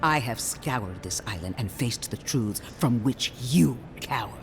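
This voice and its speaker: Wildly expressive, scornful imperial inquisitor. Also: male voice, medium pitch, measured pace, over music. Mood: contemptuous, accusing. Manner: imperious, cutting, theatrical. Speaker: voice actor. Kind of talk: dramatic fantasy game dialogue.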